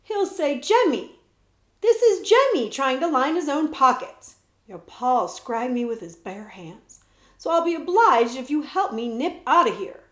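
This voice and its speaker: Lady reading a storybook, doing a cocky street kid, slangy and quick witted, echoey room. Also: high-pitched, very animated, fairly bright tone, pitched above the performer's own voice, heavily acted. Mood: cheeky. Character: streetwise orphan boy, quick and cheeky.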